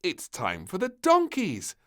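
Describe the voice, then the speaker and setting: A man's voice: high voice, huge pitch swings, takes it slowly, voice pushed up, heavily acted. A man voicing a cheerful, motherly queen in a storybook read-aloud, with light, breezy calls.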